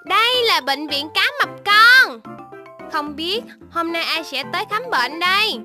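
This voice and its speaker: An eager, giggly baby shark child in a children's cartoon. The voice is extremely high and childlike, with slow, exclamatory phrasing over clear background music. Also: female voice, expressive. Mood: eager, helpful.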